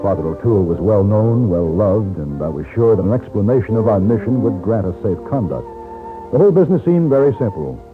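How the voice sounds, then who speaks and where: An actor playing a self-assured, wry western gentleman adventurer in an old radio drama, with an American accent. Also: fairly low pitch, big pitch swings, brisk, over music. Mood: narrating. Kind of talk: old-time radio drama acting, first-person narration. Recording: tinny old radio recording, band-limited.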